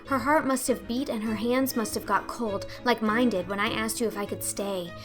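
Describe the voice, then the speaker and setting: Woman playing a high-voiced, dreamy, talkative orphan girl. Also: moderate pace, music in the background, lightly acted. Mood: wistful, imaginative. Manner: earnest, effusive, rambling. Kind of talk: audiobook character acting.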